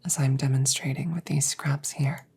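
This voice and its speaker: Woman doing a gentle robot girl asmr, super breathy whispering, low and slow, precise formal wording. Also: fairly even intonation, exaggerated voice. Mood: calm, instructing. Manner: slow, measured, precise formal phrasing with pauses. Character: calm, reassuring female android, damaged and gentle. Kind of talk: whispered ASMR audio roleplay.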